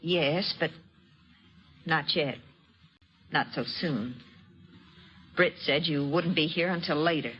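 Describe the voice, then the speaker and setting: An actress playing a protective, wounded frontier aunt in an old-time radio western, speaking earnestly and emotionally with a husky voice. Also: female voice, deep voice, slow, long pauses, faint music behind. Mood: flustered. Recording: dull, lo-fi old radio recording.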